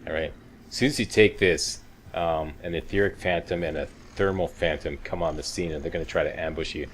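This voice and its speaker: A man's voice: deep voice, fairly even intonation, fairly quick, slight background noise. A man giving casual, folksy video game walkthrough commentary with wisecracking asides.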